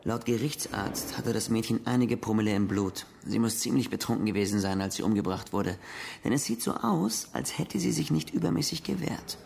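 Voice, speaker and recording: An actor playing a gravelly, matter-of-fact police colleague giving an explanatory briefing in a German radio crime drama. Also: male voice, on the low side, expressive, brisk, darkish tone, a little hiss or hum. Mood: factual, intent.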